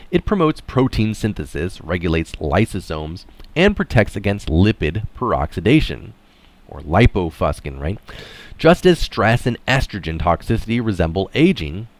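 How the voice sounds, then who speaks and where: Deep-voiced man reading an article, lively intonation. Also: dry recording. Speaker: reader and commentator. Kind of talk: read-aloud article with informal asides.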